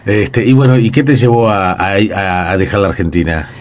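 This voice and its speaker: A man's voice: lowish voice, animated, moderate pace. A man interviewing a writer. He asks questions by way of long, musing asides. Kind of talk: casual interview conversation.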